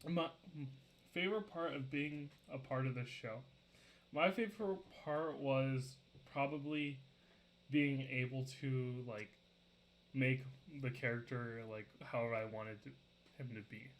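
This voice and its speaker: Young male cast member on a video call, relaxed rambling delivery, faint background hum. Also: mid-range voice, measured pace, poor audio. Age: young adult. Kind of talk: casual self-introduction.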